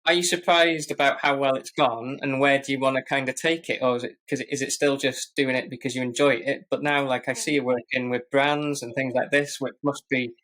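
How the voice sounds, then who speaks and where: Casual man, medium pitch. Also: steady tone, fairly quick, hardly any pauses, fairly bright tone. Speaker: podcast host and running coach. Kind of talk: casual interview chat.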